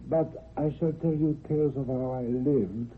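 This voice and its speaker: An actor playing a calm, hypnotic male spirit from another world, in old-time radio drama style. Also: medium pitch, natural voice. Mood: calm, wistful. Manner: slow, solemn, hypnotic, reassuring. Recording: dull lo-fi old radio recording, hiss.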